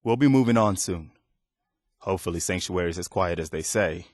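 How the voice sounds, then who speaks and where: A man playing an earnest, weary, idealistic militia leader in a video game, speaking in a measured, sincere way. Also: sits low, no echo, clean studio sound, natural voice. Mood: earnest, reassuring. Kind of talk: video game character dialogue.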